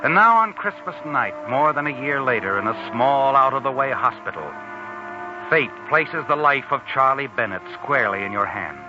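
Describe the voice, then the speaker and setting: An actor playing a sinister, taunting, all-knowing narrator in a 1940s radio suspense drama, insinuating and dramatic, on a muffled old recording. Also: male voice, mid-range voice, sing-song, normal speed, music in the background, natural voice. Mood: taunting, ominous.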